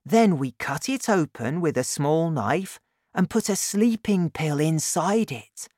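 A man reading an audiobook, voicing an eager, clever young boy with a raised pitch and excited questions. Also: takes it slowly, no room sound, clean studio sound. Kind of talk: audiobook character voice.

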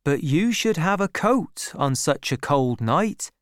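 Woman reading as a polite, clear-voiced young shepherd. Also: sits low, lively intonation, slow pace, dry recording, studio quality. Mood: earnest, kind. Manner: polite, earnest. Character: polite, earnest, kindly young male shepherd.

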